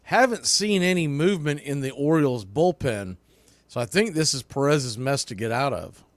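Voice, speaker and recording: A man calling baseball play-by-play live, in clipped pitch-by-pitch phrases. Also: lively intonation, normal speed, no echo. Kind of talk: live sports commentary.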